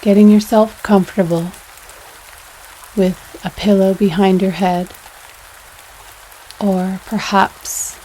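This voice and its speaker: A woman leading a guided yoga nidra meditation in a soothing, unhurried way, pausing between phrases. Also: medium pitch, slight background noise, poor audio. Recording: steady rain sounds over voice. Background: rain sounds.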